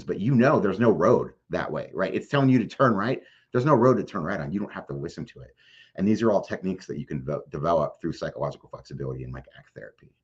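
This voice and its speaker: A man with an extremely low, husky voice talks through practical advice as a guest. His wording is plain and conversational, full of "like" and "you know". The sound is somewhat dull and lo-fi. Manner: plain-spoken, explanatory, peppered with "like" and "you know". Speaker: podcast guest, mental health advocate.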